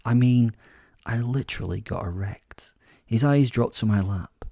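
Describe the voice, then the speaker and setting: A man's voice: medium pitch, unhurried, gravelly, dark tone, no room sound, clean studio sound. A man playing a sly, sadistic, self-assured captive werewolf who tells his story in a confiding, gloating way for a horror comedy audio drama.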